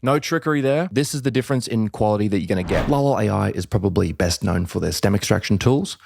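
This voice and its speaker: A man's voice: fairly low pitch, expressive, fast, vocal fry, a little mellow, a little hiss or hum. An upbeat young Australian man casually explaining music production as a tutorial host. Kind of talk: fast explanatory tutorial narration. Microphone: studio microphone.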